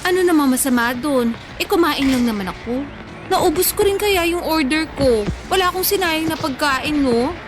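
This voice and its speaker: Woman acting a high-pitched, touchy young woman. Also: expressive, normal speed. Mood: defensive, insistent. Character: hungry, touchy, forgetful thirty-year-old woman friend. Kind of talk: Tagalog radio drama dialogue. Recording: music bed under the voice.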